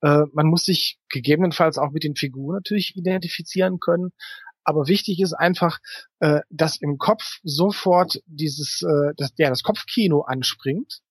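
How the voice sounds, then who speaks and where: Higher-pitched, slightly husky man. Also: moderate pace, no echo. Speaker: interview guest, writer. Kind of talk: conversational interview.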